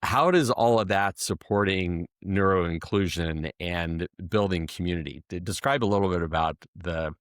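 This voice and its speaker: American male interviewer, deep voice, conversational podcast hosting, dry studio-like sound. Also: normal speed, hardly any pauses, smooth, no echo. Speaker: podcast host.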